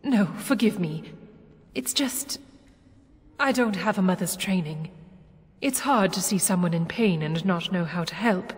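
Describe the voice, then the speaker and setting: An actress playing a timid, kindly temple sister in a game, hesitant and apologetic. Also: female voice, on the low side, animated, unhurried, pauses often. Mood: anxious, sympathetic. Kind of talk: dramatic game dialogue. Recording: long echoing hall reverb.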